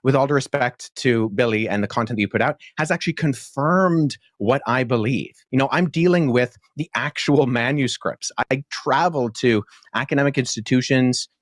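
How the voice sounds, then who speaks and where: A young man speaking as a debate guest, earnest and articulate, laying out his reasoning at a measured pace with frequent fillers. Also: medium pitch, no echo. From born in Pakistan, childhood in Jordan. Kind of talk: podcast debate answer.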